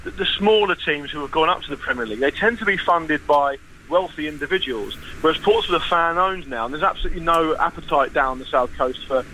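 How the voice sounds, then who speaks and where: A man's voice: fairly high pitch, fairly quick, bright, forceful tone, slight background noise, poor audio. A man chatting about football as a podcast guest, wry and self-deprecating, with frequent restarts. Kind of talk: casual podcast chat.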